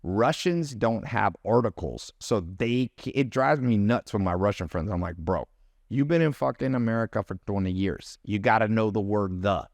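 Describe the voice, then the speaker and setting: A man's voice: lowish voice, expressive, normal speed, no room sound. Man, casual storyteller, mimics accents, dry studio sound. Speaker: podcast guest, business mentor. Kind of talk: casual chat.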